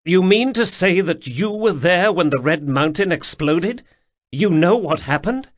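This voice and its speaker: A man voicing a high, strained young nobleman. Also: lively intonation, no echo. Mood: earnest. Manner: pleading, quick to break into shouting. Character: desperate young noble man, anxious and proud.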